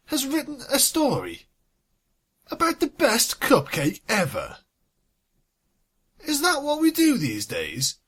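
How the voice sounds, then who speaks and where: Theatrical woman storyteller, very animated. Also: medium pitch, breathy edge. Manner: theatrical, wry. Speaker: fan fiction reader, narrator. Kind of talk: dramatic scripted story narration. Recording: music behind the voice.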